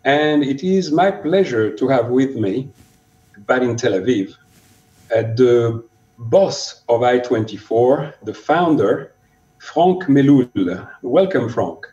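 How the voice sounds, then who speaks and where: A man's voice: mid-range voice, animated, takes it slowly, warm tone, recorded from a distance. A man hosting an interview podcast, introducing his guest and asking conversational questions. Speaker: podcast host. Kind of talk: interview host. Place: room in Melbourne. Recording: dull roomy audio from off-mic.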